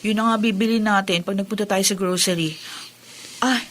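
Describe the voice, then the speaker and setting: A woman's voice: medium pitch, steady tone, fairly quick, natural voice. An actress playing a caring, thoughtful young wife and mother in a Tagalog radio drama. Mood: affectionate, thoughtful.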